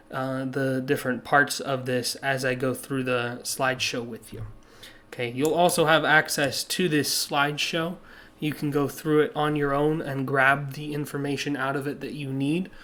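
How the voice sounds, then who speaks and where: Brief: male teacher, a bit hoarse, patient and plain, lots of "uh", mid-pitched voice, screen-recorded slide lesson. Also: a bit bright. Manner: plain, patient explaining with many "uh" fillers. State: slightly hoarse.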